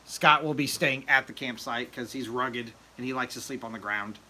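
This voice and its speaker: Bright-voiced man chatting on a livestream. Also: medium pitch, normal speed, a little hiss or hum. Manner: rambling, filler-heavy, joking. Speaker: livestream host. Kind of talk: casual livestream chat.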